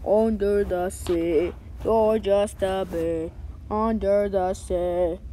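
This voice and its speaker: A carefree cartoon sea creature voiced by a woman. The medium-pitched voice goes along slowly in a repetitive sing-song. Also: steady tone, dark tone, slight background noise. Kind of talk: amateur cartoon singing and voice acting.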